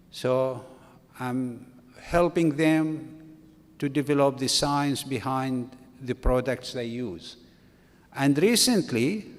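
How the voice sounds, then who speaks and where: A man in his sixties, originally from Iraq, giving a slow, halting academic talk at a conference. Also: medium pitch. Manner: slow, halting delivery with restarts. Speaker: conference speaker. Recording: long reverb of a conference hall.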